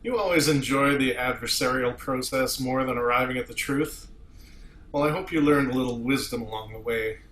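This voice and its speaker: A man's voice: mid-range voice, moderate pace, a bit bright, natural voice. A man playing a formal, proud, sardonic starship captain in an amateur sci-fi script reading. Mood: reproachful. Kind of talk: amateur table read of TV script. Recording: echoey room with faint noise.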